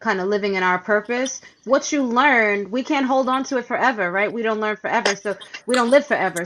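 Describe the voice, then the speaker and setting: A woman's voice: medium pitch, background noise. A woman hosting a conversational radio interview remotely, speaking in an earnest, affirming and reflective way. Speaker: radio show host, interviewer.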